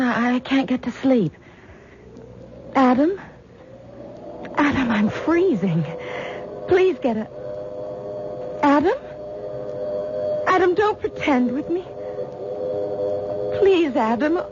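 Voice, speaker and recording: An actress playing an anxious young wife who pleads urgently, heard in a dull 1970s radio drama broadcast. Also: female voice, high voice, expressive, slow, pauses often, noisy background. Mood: frightened.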